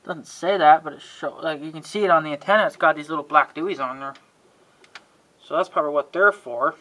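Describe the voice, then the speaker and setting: Bright-voiced folksy man, noisy homemade recording. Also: on the high side, brisk. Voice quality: frequent throat clearing. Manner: folksy, muttering to himself, mild exasperated cussing. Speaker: video host showing an antenna assembly. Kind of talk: casual rambling hands-on demonstration.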